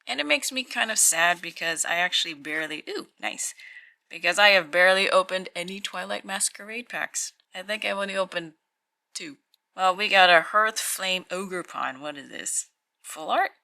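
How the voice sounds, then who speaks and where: A woman with a croaky morning voice, casually talking to herself while unboxing at a tabletop and reading out numbers. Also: lowish voice, bright, forceful tone. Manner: slow, musing, reads out weights. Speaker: channel host opening card packs. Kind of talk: casual hands-only unboxing commentary. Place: indoors at a tabletop.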